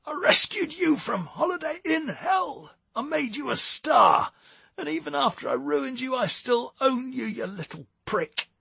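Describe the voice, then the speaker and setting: Man voicing a scornful, high-pitched domineering woman. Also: lively intonation, moderate pace, gravelly, husky, no room sound, exaggerated voice. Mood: enraged bellowing. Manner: taunting, cutting, mocking endearments. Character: domineering, scornful, manipulative female TV boss.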